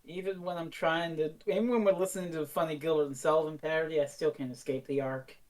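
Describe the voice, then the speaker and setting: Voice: mid-range voice, fairly even intonation, fast talker. A podcast co-host in a casual review chat, who swears freely while talking.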